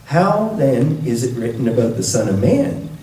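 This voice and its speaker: Mellow male scripture reader in echoey room. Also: mid-range voice, big pitch swings, moderate pace. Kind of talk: scripture reading with brief introduction. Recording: roomy echo, distant mic.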